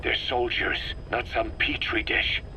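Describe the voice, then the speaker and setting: An actor playing a gruff, guarded military commander in a video game, with terse, clipped phrasing. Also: male voice, medium pitch, level tone, measured pace, sharp tone. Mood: guarded, stern. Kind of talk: dramatic video game voice acting. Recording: faintly noisy taped field recording.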